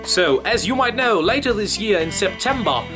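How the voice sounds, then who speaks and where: A young man in his twenties with a German accent, earnestly talking through a game theory from his bedroom, with a few filler pauses. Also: high-pitched, lively intonation, over music. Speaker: YouTuber. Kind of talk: game theory video commentary. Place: small bedroom with shelves, indoors.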